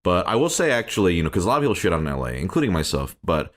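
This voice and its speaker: A man's voice: low-pitched, very animated, racing. A man in his thirties hosting a casual podcast chat, laid-back, with frequent agreeing murmurs and the occasional swear word. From United States. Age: 30s. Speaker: podcast host.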